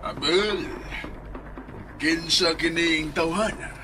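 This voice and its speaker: A man's voice: a little high, slow. An actor playing a commanding, sneering henchman of a landowner in a radio drama. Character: domineering, mocking henchman of a powerful landowner. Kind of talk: radio drama villain acting. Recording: music behind.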